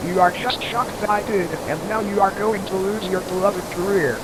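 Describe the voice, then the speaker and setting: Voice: mid-range voice, steady tone, natural voice. A performer voicing a foul-mouthed, hot-tempered storm reporter in an amateur audio drama, taunting and melodramatic. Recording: loud rushing wind and surf noise.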